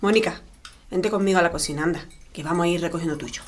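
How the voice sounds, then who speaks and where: An actress playing a caring middle-aged mother with a warm, coaxing, chatty manner. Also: fairly low pitch, fast talker, noisy background, close to an everyday voice. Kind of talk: comic family sitcom. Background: dinner table, clinking cutlery.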